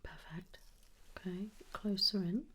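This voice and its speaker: A woman speaking softly and reassuringly, often slipping into a whisper, as she plays a doctor in an ASMR exam roleplay. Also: on the low side, steady tone, a little slow, breathy edge. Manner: soft-spoken, reassuring, often drops into a whisper. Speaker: ASMR host role-playing a doctor.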